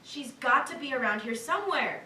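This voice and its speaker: A woman's voice: high-pitched, expressive, moderate pace, bright, forceful tone. A woman playing an exasperated, responsible boss in a staged reading of a cartoon script. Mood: dry. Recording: distant echoey room, faint noise.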